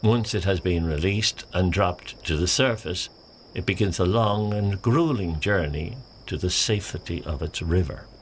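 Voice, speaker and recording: An actor playing a pompous, excitable male nature documentary presenter in a mock-serious animated short, theatrical and self-important. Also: sits low, a little slow, slight background noise. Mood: hushed wonder. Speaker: narrator. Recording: music bed behind the voice.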